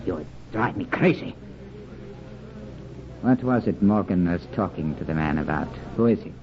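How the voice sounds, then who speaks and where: A jealous, threatening lover in a vintage radio play. His low voice broods and swings with hot temper. It sounds narrow and phone-like. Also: lively intonation, normal speed. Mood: jealous, menacing. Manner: brooding, menacing. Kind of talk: old time radio drama acting. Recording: phone-like old radio recording.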